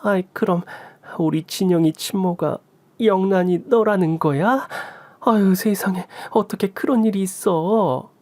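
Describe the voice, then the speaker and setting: A man's voice: high-pitched, measured pace, darkish tone, no echo, pitched above the performer's own voice. A man voicing an emotional older mother-in-law who sighs, scolds and pleads in a story drama reading. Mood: scolding.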